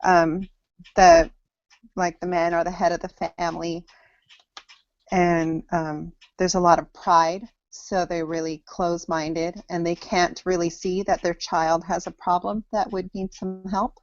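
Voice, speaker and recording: Woman, 30s, from Samoa and Hawaii, student presenting, slow and halting, dull lo-fi video call audio. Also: fairly low pitch, flat delivery. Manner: halting, pausing often mid-sentence. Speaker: student presenting to an online class. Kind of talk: class presentation.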